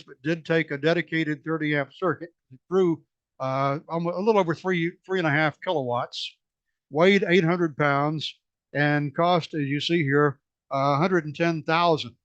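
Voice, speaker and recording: A man presenting a technical slide talk at a museum society meeting, hoarse and losing his voice, halting with many fillers and restarts. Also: a little high, a bit bright, no room sound, highs cut off. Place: meeting room.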